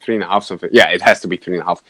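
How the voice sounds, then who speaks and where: A man's voice: low voice, fairly even intonation, rapid-fire, sharp tone, dry recording. A young man from Germany with a German accent, chatting as a podcast guest and fitness coach, hesitant with many fillers and laughs. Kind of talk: casual interview chat.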